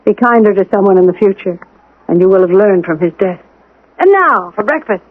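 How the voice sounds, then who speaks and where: Casting: woman to play bossy, no-nonsense housekeeper; lively swooping delivery, firm and crisp, tinny vintage radio sound. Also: medium pitch, fairly quick, close to an everyday voice. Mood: firm, reassuring. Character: brisk, bossy, no-nonsense housekeeper to an anxious gentleman. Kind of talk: radio drama acting. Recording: tinny band-limited old radio broadcast.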